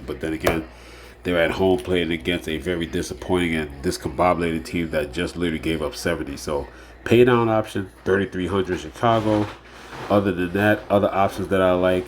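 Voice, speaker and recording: A man with a very low voice and a stuffy, nasal sound from being a little under the weather. He rambles loosely through his picks, circling back on the same phrases and filling gaps with "uh" and "like I said." A faint hum sits behind him. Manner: rambling, repeats phrases, many fillers. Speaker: fantasy sports show host. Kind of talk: casual solo picks preview.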